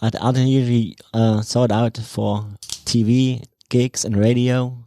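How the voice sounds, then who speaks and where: A man's voice: medium pitch, little pitch movement, moderate pace, warm tone. Curious male co-host. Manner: curious, conversational questions. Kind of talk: casual radio interview.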